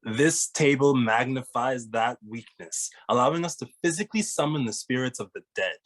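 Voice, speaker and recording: A man's voice: medium pitch, moderate pace, natural voice, low-quality recording. Man acting a serious, formal ghost detective. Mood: solemn. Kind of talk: animated comedy table read.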